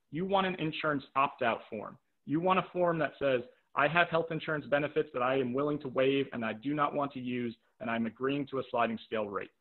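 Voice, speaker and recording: A man coaching a live webinar, direct and practical, repeating points for emphasis and checking in with "right?", his voice tiring after a long day. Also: medium pitch. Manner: checks in with rhetorical "right?" and "okay?". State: voice tiring from talking all day. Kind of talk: live webinar teaching with audience questions. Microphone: video call microphone. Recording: narrow, tinny video-call audio.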